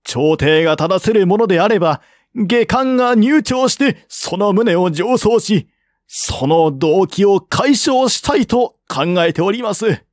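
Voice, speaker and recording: Court envoy acted by a male reader, high-pitched, polite, insistent, formal historical audiobook delivery, dry sound. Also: lively intonation, a little slow, no echo. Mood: earnest, insistent. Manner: formal, deferential, argumentative.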